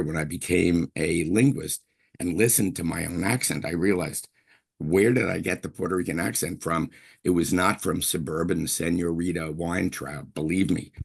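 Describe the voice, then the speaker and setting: An older man from Brooklyn giving a university lecture as a linguistics professor, chatty and digressive, often clearing his throat. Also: low-pitched. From Brooklyn, New York.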